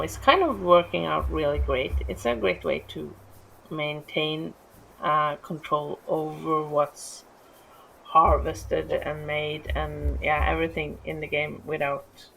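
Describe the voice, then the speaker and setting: A woman's voice: deep voice, creaky, a bit bright, poor audio. A middle-aged woman with a Norwegian accent, talking through a city-building game in a rambling, easily distracted way with lots of restarts. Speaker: gameplay streamer. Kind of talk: casual let's-play commentary. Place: home.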